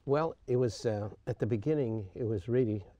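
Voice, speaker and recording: An older man from Greece, a retired coach, recounting his life in an interview with a halting manner, often pausing and clearing his throat. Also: mid-range voice, warm tone, no room sound.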